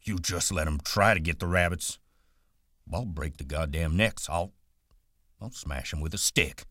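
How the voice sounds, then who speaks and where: A man's voice: sits low, measured pace, a bit bright, dry recording, studio quality. A man voicing a big, simple-minded farmhand who talks in an eager, begging, childlike drawl. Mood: angry.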